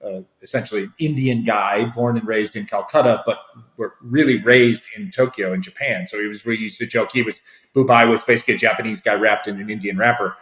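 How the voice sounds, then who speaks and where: A man's voice: lowish voice, fairly even intonation, fairly quick, fairly bright tone, reverberant, low-quality recording. A man hosting a podcast, chatting in a rambling, conversational way and affirming his guest. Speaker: podcast host. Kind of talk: casual interview chat.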